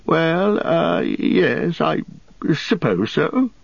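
A man's voice: medium pitch, sing-song, measured pace, vocal fry, slightly rough, dry recording, muffled recording, pitched above the performer's own voice, full character voice. A man voicing an old, kindly but prickly and possessive hobbit in an audiobook, with an agitated, defensive manner. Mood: flustered, impatient. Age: older. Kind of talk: audiobook character acting.

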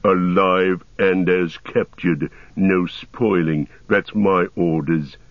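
A man voicing a huge, domineering orc commander in a deep growl, blunt and boastful, in a storybook reading. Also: fairly even intonation, slow. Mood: commanding. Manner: blunt, commanding, emphatic declarations. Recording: roomy, dull lo-fi sound.

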